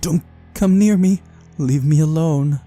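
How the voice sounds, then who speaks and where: A paranoid young man from a visual novel, acted out by a man, frightened and agitated. His voice is raised above the narrator's usual pitch and loud, with music underneath. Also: a little slow. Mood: panicked, defiant. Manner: agitated, mumbling.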